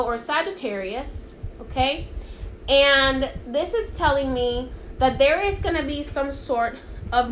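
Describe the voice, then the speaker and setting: A woman's voice: high voice, fairly bright tone. A woman whose first language is Spanish, giving a live-streamed spiritual card reading in a chatty, encouraging way that keeps checking in with her viewers. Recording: echoey room, faint background hiss.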